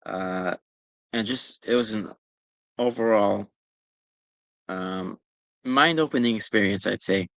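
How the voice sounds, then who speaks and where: Bright-voiced young man rambling casually. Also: fairly low pitch, vocal fry, no echo, poor audio. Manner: rambling, many ums and you-knows. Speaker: former pop-up store worker answering questions. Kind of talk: casual chat.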